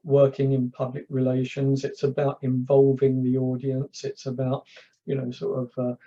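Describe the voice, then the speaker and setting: A man's voice: mid-range voice, monotone, moderate pace, dark tone, muffled recording. A man from London with a London accent, a public speaking coach chatting as a guest and telling anecdotes, often repeating words as he starts. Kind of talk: casual interview chat.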